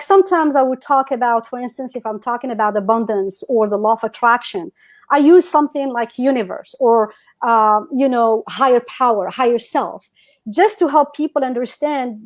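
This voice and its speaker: A woman's voice: a little high, moderate pace, darkish tone. A woman from North Africa whose first language is Berber, chatting as a guest on a video call. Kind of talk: casual interview over a video call.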